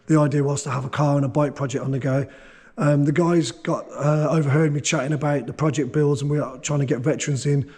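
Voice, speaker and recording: A man with a hoarse, fading voice from a sore throat, hosting a casual workshop vlog and rambling with many fillers. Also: on the high side, a little mellow. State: losing his voice, sore throat. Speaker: workshop owner and channel host.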